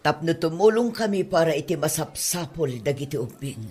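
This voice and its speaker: Casting: kindly older Madam for radio drama, husky, unhurried, consoling, with widely swinging intonation performed by an actor. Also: mid-range voice, animated, a little mellow. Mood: gentle concern. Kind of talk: radio drama acting in Ilocano.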